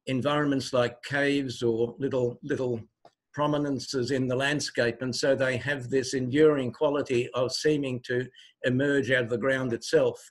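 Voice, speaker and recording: Older male questioner from Switzerland, mid pitch, formal, erudite, discursive. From Basel, Switzerland. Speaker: audience member asking a question. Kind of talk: long, considered spoken question.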